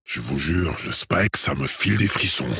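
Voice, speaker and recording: A man playing a cocky, cool video game agent, delivering short tactical lines with confident swagger in a husky, raspy voice. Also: rumbling low, expressive, moderate pace, bright, forceful tone, dry recording, clean studio sound, full character voice. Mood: relaxed, cocky.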